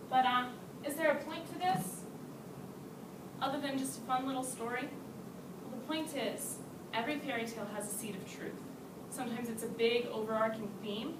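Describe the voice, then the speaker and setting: A young woman, a student and writer, giving an earnest prepared speech to a class with rhetorical questions. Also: a little high. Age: young adult. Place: classroom, recorded from a distance. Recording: roomy, distant, loud background noise.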